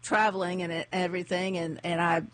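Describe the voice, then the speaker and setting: A woman's voice: on the low side, level tone, fairly bright tone, a little hiss or hum. A woman hosting a morning radio show, chatting casually with a co-host and reading sponsor spots and community notices. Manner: chatty, laughs easily, reads ads and community notices briskly. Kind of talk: casual on-air chat and live sponsor reads. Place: radio studio. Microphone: studio microphone.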